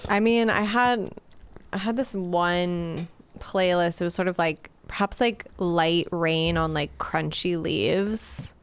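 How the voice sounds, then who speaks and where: A woman's voice: lowish voice, takes it slowly, clean tone. A woman guesting on a podcast as a comedian, rambling casually with lots of "like". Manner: rambling, full of "like" and restarts. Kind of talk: casual chat.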